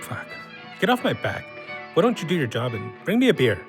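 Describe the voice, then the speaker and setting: A man's voice: on the high side, wildly swooping pitch, brisk, faint music behind. A man playing a cynical, foul-mouthed drinker, blunt and mocking, in a naturalistic audio drama. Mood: dismissive. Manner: sarcastic, blunt, gruffly dismissive.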